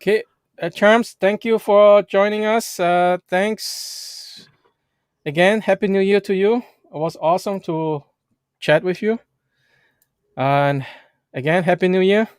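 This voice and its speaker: German-native man hosting a livestream, fairly high voice, dry close sound, halting and animated. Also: clean tone, dry recording. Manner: halting, restarts and fillers, animated. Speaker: vlog host. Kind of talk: casual livestream chat. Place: Edmonton, Canada.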